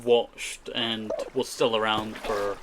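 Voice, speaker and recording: A man's voice: fairly low pitch, little pitch movement, takes it slowly, noisy background. A man who is a native Scottish Gaelic speaker from Scotland, giving chatty, rambling live gaming commentary and often repeating short phrases. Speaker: streamer.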